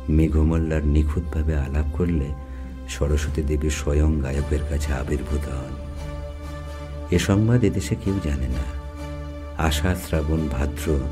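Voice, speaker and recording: A man playing an older, secretive, persuasive musician in a Bengali audio story, speaking deliberately with dramatic pauses. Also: extremely low pitch.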